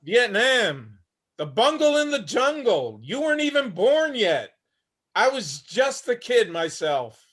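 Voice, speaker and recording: Male actor as weary 73-year-old homeless war veteran, sardonic and blunt, very high-pitched for a man, stage play reading. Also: very animated, takes it slowly, fairly bright tone, husky, exaggerated voice. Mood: wry, bitter. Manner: wry, blunt, streetwise. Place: remote video-call reading.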